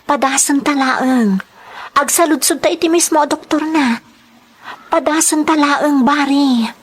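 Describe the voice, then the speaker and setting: An actress with an Ilocano Filipino accent and husky voice playing a pained, pleading woman in a radio family drama. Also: high-pitched, measured pace, slight background noise. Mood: gentle, urging.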